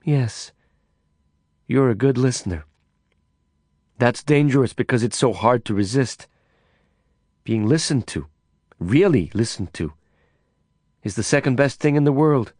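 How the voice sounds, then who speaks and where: A man voicing a cool, enigmatic Swiss woman in an audiobook, speaking in a calm, measured, teasing way. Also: medium pitch, dry recording, studio quality.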